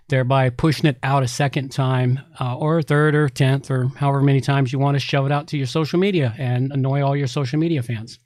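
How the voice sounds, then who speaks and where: A man's voice: on the high side, no echo, studio quality. A man co-hosting a podcast, reviewing products in a casual, chatty, folksy way. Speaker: podcast co-host.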